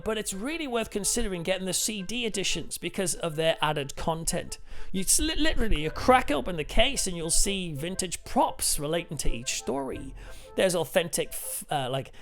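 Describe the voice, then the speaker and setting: Man, notably high voice, chatty keen host of a casual review show, soft music bed. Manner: enthusiastic, chatty.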